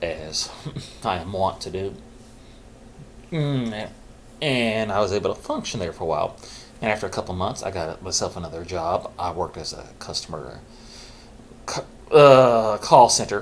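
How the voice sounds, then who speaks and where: A middle-aged man speaks in a fairly low voice, telling his own story in a candid, reflective way. He pauses, restarts and keeps his naturally loud voice reined in. A faint hiss sits under the recording. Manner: says he is keeping his loud voice low on purpose. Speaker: vlogger sharing his mental health story.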